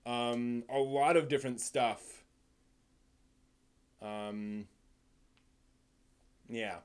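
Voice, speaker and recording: A man with a mid-range voice talks casually as the host of a live stream. He speaks without a script, in a halting, rambling way, doubling back on his words and letting thoughts trail off. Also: medium pitch, steady tone, clean tone.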